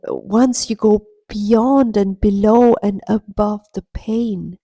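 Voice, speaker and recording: Slow, mellow-voiced woman spiritual healer. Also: medium pitch, creaky, breathy edge, no room sound. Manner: soft, earnest, coaching tone. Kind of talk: reflective motivational monologue.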